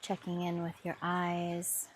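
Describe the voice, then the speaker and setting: A woman guiding a mindfulness body scan meditation with soft, soothing instructions. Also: lowish voice, monotone, slow, a little hiss or hum. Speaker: meditation guide. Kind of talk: guided meditation.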